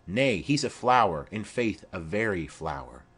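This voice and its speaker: A man's voice: deep voice, sing-song, unhurried, fairly bright tone, breathy edge, exaggerated voice. A man voicing a garrulous, fond old female nurse in a Shakespeare play, rambling and exclamatory. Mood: fond, excitable. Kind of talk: amateur-style Shakespeare play reading.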